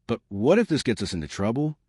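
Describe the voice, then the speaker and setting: A man voicing a cautious, sharp-minded teenage girl in a horror storybook reading, speaking with worry and hesitation. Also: mid-range voice, big pitch swings, fast, slightly rough, no echo, studio quality. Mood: worried.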